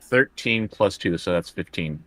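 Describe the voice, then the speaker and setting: Very deep-voiced, crisp bright man at play. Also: lively intonation, a little slow, dry recording. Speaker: player in a tabletop RPG. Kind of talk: casual chat during tabletop game play.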